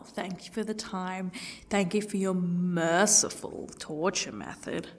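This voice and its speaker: A woman playing a sarcastic, defiant captive in an ASMR roleplay, swearing and slipping into breathy half-whispers. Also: on the low side. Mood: sardonic, defiant.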